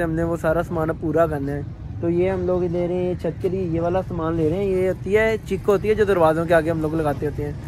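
A young man with a Pakistani Punjabi accent, talking casually to camera as a vlogger. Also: sits high. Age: young adult. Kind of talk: casual vlog talk to camera. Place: outdoors by roads, brick yards and shops. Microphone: phone at arm's length. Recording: clear outdoor traffic and ambient noise.

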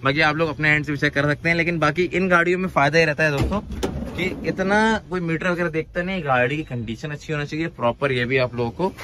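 A man's voice: fairly high pitch, steady tone, quick pace, sharp tone, slight background noise. A young man hosting a casual vlog, walking a used car lot outdoors and chatting with questions and repeated "okay, okay". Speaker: car vlogger host.

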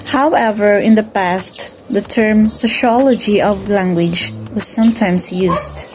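Young woman, from the Philippines, student report, slow careful reading, slight background noise. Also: mid-range voice, a little mellow, poor audio. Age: young adult. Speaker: student presenter. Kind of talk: read class report.

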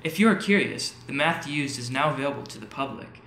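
A man's voice: mid-range voice, lively intonation, brisk, a bit bright, natural voice. A man playing a polite NASA employee giving a formal presentation in an audio drama. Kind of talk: scripted presentation. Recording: roomy echo, faint background noise.

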